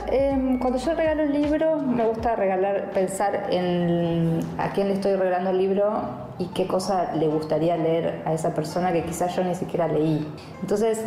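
A woman's voice: lowish voice, dark tone, roomy sound. A woman writer with an Argentine accent, talking casually in an interview.

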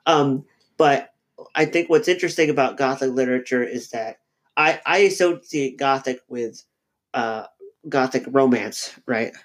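A man with a head cold, his voice slightly nasal. He talks through ideas slowly as a podcast co-host, stopping and restarting with many fillers as he thinks aloud. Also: medium pitch. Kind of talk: casual podcast discussion.

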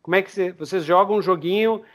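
A Brazilian-accented man hosts a podcast interview in a fairly high voice. He talks informally, repeating words and adding little tags as he puts questions together. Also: fast talker, fairly bright tone. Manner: informal, repetitive, peppers talk with tags and English terms. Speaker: podcast host. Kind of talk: casual interview chat.

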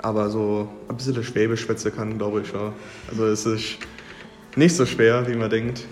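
Man, halting speech, fillers, echoey room. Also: fairly low pitch, little pitch movement. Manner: hesitant, repeats words and fillers. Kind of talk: casual discussion. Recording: echoey room with long reverb, faint music.

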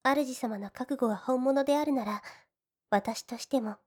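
A woman voicing a gentle, devoted slime woman in an ASMR fantasy audio drama, speaking politely and a little shyly. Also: high voice, moderate pace. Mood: calm, reassuring. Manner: soft, polite, deferential, shyly hesitant. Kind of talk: Japanese ASMR situation voice drama.

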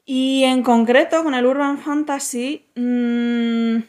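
A woman novelist from Madrid, speaking as a podcast guest and answering thoughtfully with frequent pauses and restarts. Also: sits high, steady tone, a little mellow. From Spain, Madrid. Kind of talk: podcast interview.

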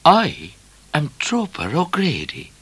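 Man acting a high-pitched, gleeful bushranger. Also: huge pitch swings, takes it slowly, a bit bright, breathy edge, exaggerated voice. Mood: gleeful, teasing. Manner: giggling, cheerfully mocking. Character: gleeful, taunting bushranger, a long thin male outlaw. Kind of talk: storybook character voice.

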